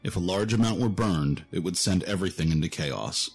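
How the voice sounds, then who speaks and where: A man with a deep voice narrates a scripted fantasy tale. He works as the storyteller, and music plays clearly behind him. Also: brisk.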